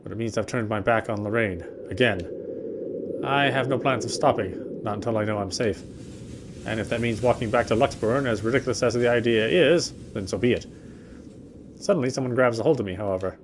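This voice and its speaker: A man's voice: medium pitch, a little fast, noisy background. A man narrating a visual novel aloud in a dramatic storytelling style as he plays. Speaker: gamer reading the game text aloud. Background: faint game music.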